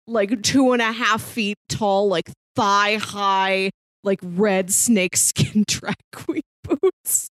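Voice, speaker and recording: A woman's voice: mid-range voice, slow, a bit bright, dry recording. Woman chatting casually, close dry sound. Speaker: podcast co-host. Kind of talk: casual podcast chat.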